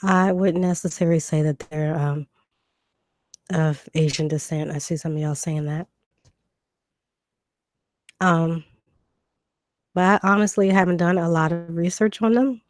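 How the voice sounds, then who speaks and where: A woman, hoarse from a cold, hosting a live stream and answering viewer comments in a relaxed chat with lots of ums. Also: lowish voice, a little slow, long pauses, no echo. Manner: conversational, reads comments aloud and answers them, frequent ums.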